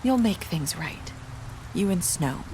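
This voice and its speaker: A woman's voice: lowish voice, expressive, takes it slowly, noisy background. An actress playing a sorrowful young woman in a video game drama, confessing in an earnest, hesitant way. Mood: gentle, reassuring. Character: sorrowful young woman confessing, former mermaid, wounded but resolute.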